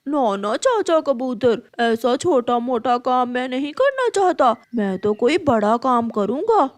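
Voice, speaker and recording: A woman's voice: sits high, sing-song, a little slow. A woman voicing a lazy, picky young male crow in a cartoon story, whiny and dismissive. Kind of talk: cartoon story character dialogue.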